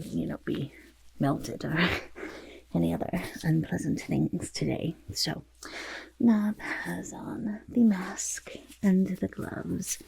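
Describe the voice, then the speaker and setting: A woman playing a vain, fussy goblin portrait artist in an ASMR roleplay, with a raspy half-whisper and pompous, flattering, hesitant talk. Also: lowish voice, exaggerated voice, rough recording. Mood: wary, polite. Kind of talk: ASMR roleplay, soft personal attention.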